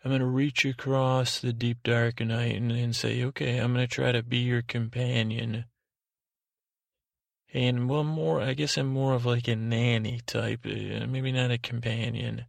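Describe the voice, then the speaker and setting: A man hosting a podcast, delivering a rambling, whimsical bedtime monologue in a hesitant, deadpan way, often correcting himself and trailing off. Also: mid-range voice, measured pace, creaky, dry recording. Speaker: podcast host.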